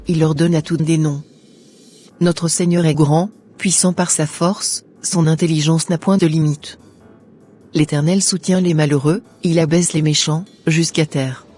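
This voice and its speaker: Mellow husky woman reading scripture. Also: low-pitched. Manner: measured, devout.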